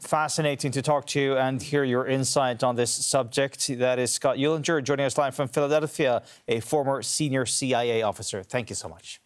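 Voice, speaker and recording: Male anchor, steady formal delivery, light music bed. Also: fairly high pitch. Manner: composed, formal questioning. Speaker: news anchor. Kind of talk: news interview and presenting.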